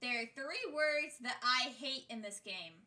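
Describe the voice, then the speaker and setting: A woman's voice: high-pitched, unhurried, sharp tone, far from the mic. A woman hosting a gaming video, giving casual scripted countdown commentary in an exasperated, sweary, jokey way. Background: music.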